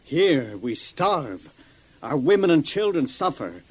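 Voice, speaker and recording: A man's voice: on the high side, big pitch swings, slow pace, husky, no echo, exaggerated voice. An actor playing a worried, complaining Israelite father and elder in a children's audio Bible drama, speaking earnestly with some hesitation. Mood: accusing.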